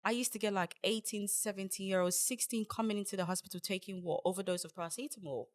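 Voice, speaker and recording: A man's voice: high voice, fairly even intonation, quick pace. Man telling stories earnestly. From Ghana. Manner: earnest, storytelling, often restarts with "the thing is". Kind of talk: casual group chat.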